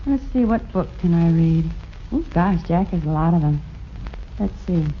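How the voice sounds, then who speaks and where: A woman acting a lively, level-headed radio heroine. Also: on the low side, moderate pace, close to an everyday voice. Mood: musing. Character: level-headed female companion and mock-earnest storyteller nurse. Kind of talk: 1950s radio comedy acting. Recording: muffled, noisy 1950s radio recording.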